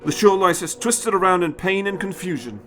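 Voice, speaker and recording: Man narrating dramatically, lively pitch. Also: moderate pace, soft background music. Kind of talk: dramatic scripted story narration.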